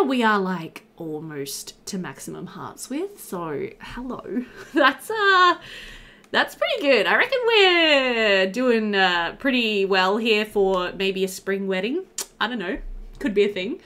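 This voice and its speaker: A woman's voice: mid-range voice, sing-song, measured pace, fairly bright tone. A woman in her thirties giving casual, chatty let's-play commentary, easygoing and thinking aloud as she plays. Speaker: gaming video host.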